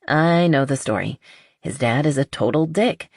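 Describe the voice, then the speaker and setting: A woman voicing a blunt, honest, skeptical but loyal best friend in an audiobook, with a dry teasing manner and husky voice. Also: rumbling low, animated, moderate pace, darkish tone, dry recording, full character voice.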